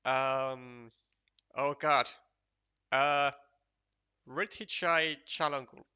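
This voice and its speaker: A man with a Finnish accent giving casual, unhurried commentary on a board game match for a live stream, chatty and full of fillers. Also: medium pitch, steady tone, sharp tone, smooth, highs cut off.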